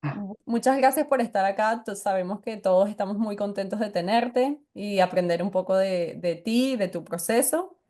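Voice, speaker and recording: A woman's voice: mid-range voice, normal speed, warm tone. A woman hosting a podcast interview, welcoming the guest and asking questions with a few small stumbles. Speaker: podcast host.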